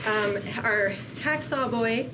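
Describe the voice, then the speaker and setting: A woman's voice: a little high, slow. A woman giving an informal spoken introduction as host of a script table read. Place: room with a group at a table read. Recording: very noisy, distant from the mic.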